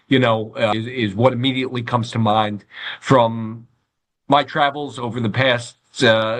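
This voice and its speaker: A man's voice: medium pitch, fairly bright tone. Man with a Long Island accent, official-sounding. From Long Island, New York, United States. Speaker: government agency regional administrator, interview guest. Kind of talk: interview answers, promotional and informative.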